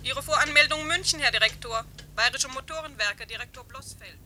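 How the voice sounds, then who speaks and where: An actress in a 1950s radio play voicing a brisk airline secretary, matter-of-fact and slightly sardonic. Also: female voice, sits high, pushed and bright, exaggerated voice. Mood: businesslike. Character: brisk, dry female office secretary at an airline.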